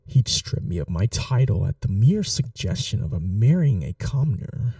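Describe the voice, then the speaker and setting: Guy acting as evil-ish young prince, asmr roleplay, gravelly low-lit voice, formal n measured, pitch moves around a lot. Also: sing-song, no echo, clean studio sound, far from the mic. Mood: hushed, conspiratorial. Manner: formal, measured, hushed, imperious. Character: scheming young prince, commanding yet tender to his bride. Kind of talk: dramatic ASMR audio roleplay.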